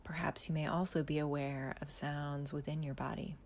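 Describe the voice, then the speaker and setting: A woman calmly guiding a body scan meditation, speaking slowly and softly with long pauses and a half-whispered delivery. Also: low voice, slight background noise. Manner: slow, soft, calm instructions with long pauses, often half-whispered. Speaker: mindfulness guide.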